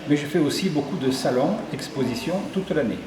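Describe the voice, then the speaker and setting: A man's voice: on the high side, lively intonation, a little fast, a crowd in the background. A man from southern Ardèche, a sculptor, describing his works at length with small hesitations in an interview at an exhibition. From southern Ardèche, France. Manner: descriptive, lists details with hesitations. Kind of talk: interview, explaining his artworks. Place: tourist office exhibition hall. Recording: echoey hall with faint ambience.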